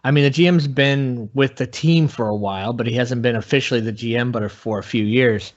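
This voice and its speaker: A man giving casual sports analysis as a podcast guest, in a measured, halting way, on dull lo-fi audio. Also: medium pitch.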